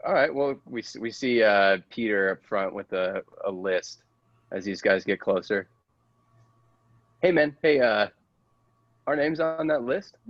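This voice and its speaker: A man's voice: lowish voice, animated, a little slow, long pauses, no room sound. A man playing a casual, pushy bro who cajoles in slangy, hesitant talk, in improvised comedy with a dull, dry sound. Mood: cajoling. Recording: dull, dry close recording.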